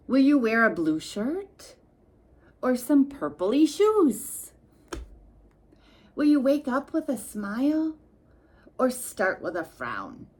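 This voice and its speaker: Female storytime reader for little kids. Unhurried delivery, lively swooping pitch, talks directly to the children. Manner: expressive, sing-song, addressing children directly. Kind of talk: read-aloud storytime for young children with chatty asides.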